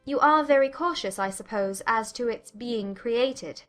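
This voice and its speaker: A woman playing a witty, spirited young Regency gentlewoman with an arch, teasing manner in a dramatized audiobook. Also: a little high, a little slow, faint music behind, lightly acted. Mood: probing, earnest.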